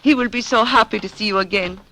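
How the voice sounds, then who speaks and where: Through clearly noisy vintage radio sound comes an imperious young woman kidnapper. She gives orders in formal, foreign-sounding phrases. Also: medium pitch, quick pace, sharp tone. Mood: commanding. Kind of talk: old-time radio drama acting. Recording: noisy old radio recording.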